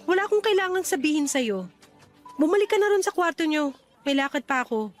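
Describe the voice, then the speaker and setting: A woman's voice: high voice, big pitch swings, fairly quick, faint music behind. An actress playing a curt, defensive young woman firmly rejecting a suitor in a radio drama, with an irritated manner. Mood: cold, firm. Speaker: voice actor in a radio-style love story. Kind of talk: Filipino radio drama acting.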